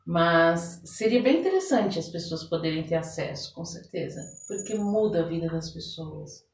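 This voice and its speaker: A woman's voice: fairly low pitch, animated, moderate pace, darkish tone. Brazilian woman explaining, echoey and distant. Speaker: coach and consultant, interview guest. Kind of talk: conversational interview, explaining. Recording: roomy echo, distant from the mic.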